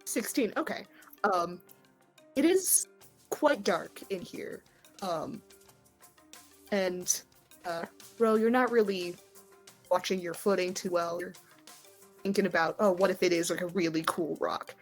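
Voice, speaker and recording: A woman's voice: on the low side, measured pace, pauses often. Informal woman game master over faint music. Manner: informal, hesitant phrasing. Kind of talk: improvised narration of a tabletop game.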